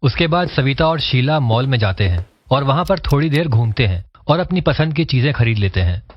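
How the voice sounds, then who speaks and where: A man narrates a cartoon story in a steady, scripted manner at a mid pitch. The voice-over sounds dull and lo-fi. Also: a little fast.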